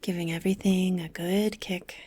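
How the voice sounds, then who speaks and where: A woman leading a guided meditation with soft, gentle, soothing instructions, breathy and often close to a whisper. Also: fairly low pitch, steady tone, normal speed.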